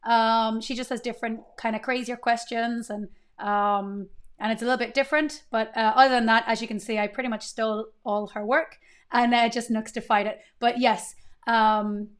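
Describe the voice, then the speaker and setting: A woman's voice: on the high side, little pitch movement, moderate pace. A woman in her thirties hosting a casual live coding stream, chatty and upbeat, greeting viewers as she goes, her voice slightly strained while it recovers. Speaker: livestream coding host.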